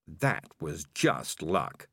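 A man's voice: a bass voice, big pitch swings, very slow, pushed and bright, slightly rough, no echo, pitched below the performer's own voice, heavily acted. A man voicing a sly, worldly male talking cat, drawling with dry skepticism in a storybook reading. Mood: wry.

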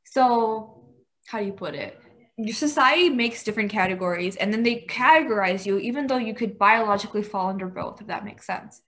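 A woman's voice: medium pitch, brisk, a bit bright. A young woman with an American accent, casually explaining her thinking and checking with questions. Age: young adult. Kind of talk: casual discussion.

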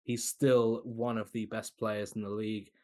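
A man giving casual, opinionated basketball analysis as a podcast guest, with a relaxed, chatty delivery and the odd laugh. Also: fairly low pitch, unhurried. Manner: loose, opinionated, laughs between points.

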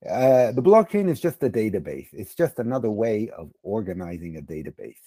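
A middle-aged man from the United States with a gravelly, husky voice, explaining casually as an interview guest and often rephrasing himself. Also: on the low side, sing-song, a little fast, mellow, no room sound. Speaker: podcast guest, crypto educator and former bond trader.

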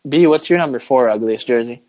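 A man's voice: medium pitch, brisk, a little mellow, dry recording. A man hosting a casual sports podcast, chatting informally and giving firm opinions. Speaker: podcast host.

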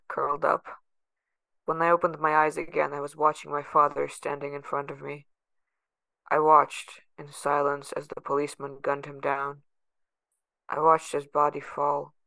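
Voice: medium pitch, gravelly, sharp tone, dry recording. An actor playing a sardonic, guarded young adult patient held in a facility, narrating in the first person with bitter sarcasm. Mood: brooding, uneasy.

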